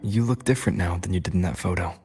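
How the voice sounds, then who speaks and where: A reserved young male traveler in a video game. His voice is fairly low, and he puts his questions directly. Music is clearly audible underneath. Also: quick pace, a little mellow, exaggerated voice. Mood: curious, guarded. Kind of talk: video game character dialogue.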